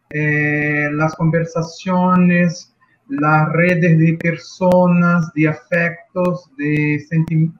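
A Brazilian man with a Portuguese accent speaks Spanish slowly and carefully, often pausing to find his words. His voice sits a little high for a man. The audio is muffled and lo-fi, like a remote call. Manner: slow, thoughtful, searching for words. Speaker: guest teacher. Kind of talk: reflective interview answer.

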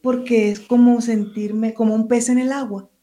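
Mellow-voiced woman writer. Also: on the high side, flat delivery, moderate pace. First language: Spanish. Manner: hesitant, searching for words. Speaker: writer and teacher. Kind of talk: interview answers and reading from her book.

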